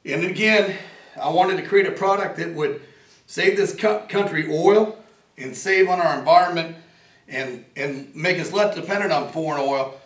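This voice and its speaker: A man in his forties with a raspy voice, an inventor talking in a casual, rambling and earnest way, sometimes joking about himself. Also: a little high, moderate pace, a bit bright. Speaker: inventor and veteran interviewee. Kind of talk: casual interview talk. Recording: roomy echo, from a distance.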